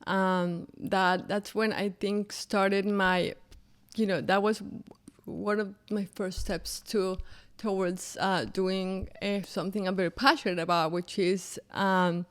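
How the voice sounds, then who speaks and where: Colombian-born woman speaking slowly. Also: mid-range voice, flat delivery, clear, resonant tone. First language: Spanish. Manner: thoughtful, with frequent pauses and fillers. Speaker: guest, business founder. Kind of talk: podcast interview.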